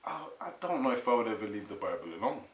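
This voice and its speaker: A man's voice: mid-range voice, sing-song, fast, crowd noise. A British man hosting an interview in a casual, reflective, informal way. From England. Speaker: host, interviewer. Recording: roomy echo, faint hiss.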